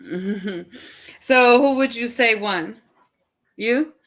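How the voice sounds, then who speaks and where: A woman hosts her podcast live with a naturally loud, animated way of talking. Her tone is bright and sharp. She keeps the chat casual and laughs easily. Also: fairly high pitch, drawn-out words. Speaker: podcast host. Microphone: live stream setup.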